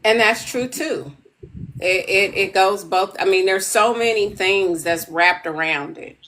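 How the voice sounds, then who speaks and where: A woman in her fifties hosting a casual podcast chat, relaxed and rambling, often repeating herself. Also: medium pitch, measured pace, a little hiss or hum, poor audio. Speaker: podcast host.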